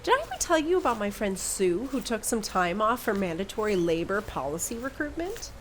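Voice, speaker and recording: A woman's voice: medium pitch, big pitch swings, a little fast, slight background noise, close to an everyday voice. A woman playing a sly, scheming crew survivor with a sarcastic, wry manner.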